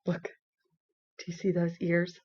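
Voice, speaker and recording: A woman reading a children's storybook aloud like a teacher, expressive and engaging with young listeners. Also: fairly low pitch. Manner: expressive, pauses to ask listeners questions. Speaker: kindergarten teacher. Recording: slightly dull lo-fi sound.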